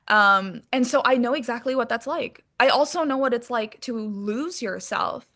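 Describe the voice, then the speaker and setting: A woman with a very bright, forward voice gives a candid interview. She talks informally and with animation, in a slightly high register, like someone chatting casually. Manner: animated, informal, peppered with "like" and "you know". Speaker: interview guest, actress.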